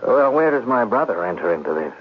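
An actor in a 1970s radio drama playing a cultured, scheming gambler with an articulate, evasive manner. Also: male voice, mid-range voice, big pitch swings, fairly quick, breathy edge. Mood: flustered. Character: scheming, cultured gambler plotting murder, feigning bewildered innocence. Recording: muffled, noisy vintage radio recording.

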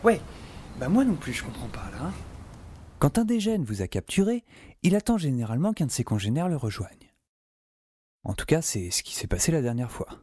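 A man's voice: medium pitch, darkish tone, no echo, clean studio sound. An actor playing a sarcastic, geeky young male scientist in an audio drama, wryly telling his adventure and rambling. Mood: nervous coaxing. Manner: wry, rambling, talks to the listener. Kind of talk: audio drama narration and dialogue.

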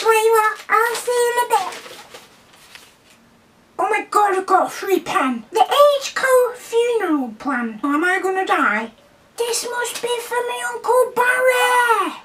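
An extremely high, squeaky little boy for playful vlogs. He is cheeky and gushing, with childlike excitement and a helium-like tone. The voice sits slightly away from the microphone. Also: measured pace, recorded from a distance, background noise. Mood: chatty, playful. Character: squeaky, excitable little boy character opening fan mail. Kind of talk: playful unboxing and letter reading.

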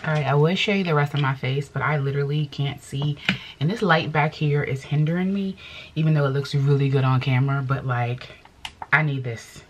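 A woman's voice: deep voice, steady tone, a little fast. A middle-aged woman vlogger chatting casually and fussing as she gets ready, filmed at close range in a home studio. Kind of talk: casual vlog chat. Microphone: camera at close range.

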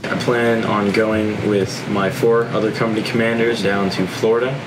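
A man's voice: on the low side, steady tone, normal speed, reverberant, background noise. A young man, a cadet battalion commander at a military school, speaking reflectively in a documentary interview. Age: young adult.

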